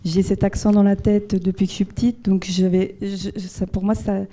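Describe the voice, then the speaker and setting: Flat, dark-voiced woman director. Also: medium pitch, moderate pace. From Jura, France. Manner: hesitant, many fillers and restarts, thoughtful. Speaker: film director. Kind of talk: answering audience questions.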